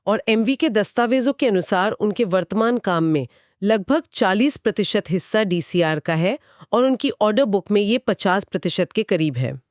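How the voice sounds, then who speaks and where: A woman's voice: medium pitch, lively intonation, moderate pace, dry recording, studio quality. A woman with an Indian accent in a conversational explainer podcast, speaking in an assured, explanatory way as co-host. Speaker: co-host explaining company financials.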